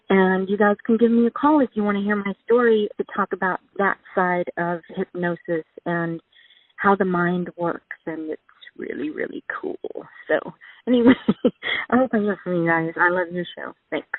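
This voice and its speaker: A woman's voice: fairly low pitch, dry recording, narrow, tinny audio. A woman from Texas leaving a chatty, rambling voicemail for a podcast, laughing now and then. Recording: phone voicemail.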